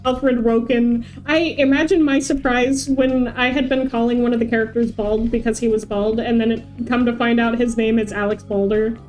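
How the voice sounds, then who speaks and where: A woman's voice: a little high, steady tone, normal speed, few pauses, darkish tone, faint music behind, rough recording. A woman chatting casually as she streams a video game, speaking into a close mic. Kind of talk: casual livestream chat.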